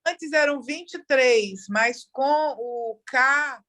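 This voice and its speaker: A woman, a student in the class, questions her teacher in an unhurried way. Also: high-pitched, pushed and bright.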